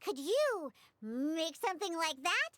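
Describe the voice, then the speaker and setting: Feisty child bartender, game role: very high and lively, indignant, dramatic, scheming. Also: female voice, slow pace, a little hiss or hum, full character voice. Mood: scheming, disappointed. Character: feisty little girl bartender, grumbly, scheming, hates alcohol. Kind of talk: video game cutscene voice acting. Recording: game music behind the voice.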